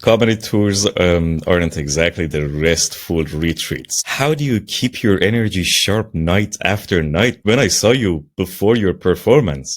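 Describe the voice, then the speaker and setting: A man hosting a podcast, asking conversational questions with an admiring tone. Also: on the low side. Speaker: podcast host. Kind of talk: interview questions.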